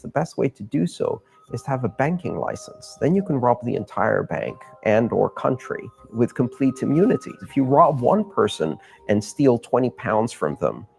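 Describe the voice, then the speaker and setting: Gravelly man making a forceful argument. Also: mid-range voice. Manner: argumentative, builds points by listing. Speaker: author and public speaker. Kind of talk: public talk.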